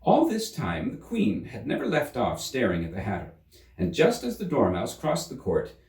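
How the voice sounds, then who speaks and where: A man narrates a children's storybook with very animated intonation. His mid-pitched voice sits slightly away from the microphone in a roomy space. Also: normal speed, distant mic. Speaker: storybook reader. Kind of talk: expressive scripted narration of a children's classic. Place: room in a museum.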